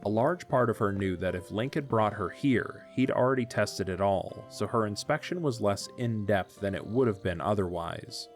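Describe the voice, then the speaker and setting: A man's voice: lowish voice, moderate pace, hardly any pauses, soft background music. A man narrating a scripted story as the narrator of an audio drama.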